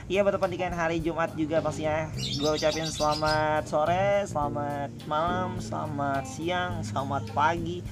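A man's voice: a little high, steady tone, normal speed, few pauses, over music. An Indonesian man hosting a casual podcast from home, joking and telling absurd tall tales. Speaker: podcast host. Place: home with birds outside.

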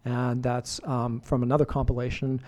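A middle-aged man with a warm, mellow voice talks on the radio between songs. He rambles loosely with lots of fillers and false starts, at an easy, ordinary pitch. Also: normal speed. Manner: relaxed, rambling, full of fillers and restarts. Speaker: radio show host.

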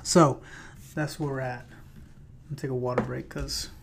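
Chatty man, slightly raspy voice. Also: medium pitch, level tone, measured pace, a little hiss or hum. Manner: loose and chatty, many fillers and restarts. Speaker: podcast host and realtor. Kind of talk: casual solo podcast talk. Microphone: plugged-in microphone.

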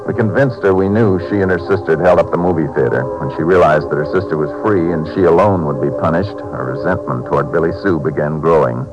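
Man as businesslike Texas lawman, very low voice, rapid clipped delivery, old-time radio recording. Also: lo-fi sound, music in the background, lightly acted. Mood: matter-of-fact. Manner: clipped, matter-of-fact. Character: steady, businesslike male Texas Ranger narrating his case. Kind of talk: 1950s radio police drama narration and dialogue.

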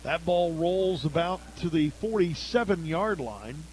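An American man doing live radio play-by-play commentary of a high school football game. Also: animated, unhurried, a little mellow. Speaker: play-by-play announcer.

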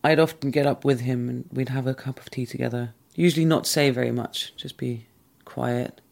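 Man, gravelly, reflective, measured, candid personal story. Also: medium pitch, steady tone, a little mellow. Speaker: interviewee recounting a relationship.

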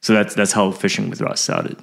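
A middle-aged man from Taiwan chatting casually as an interview guest, often halting and repeating words. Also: fairly low pitch, very animated, gravelly. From Taiwan, later Australia and Canada. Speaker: podcast guest, fishing website founder.

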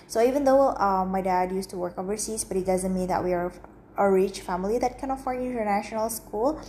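A woman's voice: mid-range voice, darkish tone, a little hiss or hum. A young adult woman who grew up in Romania and Indonesia, talking in a casual solo monologue, chatty and full of fillers and restarts. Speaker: podcast host.

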